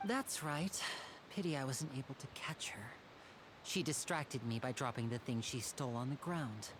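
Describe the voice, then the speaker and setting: A woman voicing a sly, confident young male stage magician in a video game, with a smooth theatrical manner. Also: a bass voice, normal speed, noisy background. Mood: rueful. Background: game music.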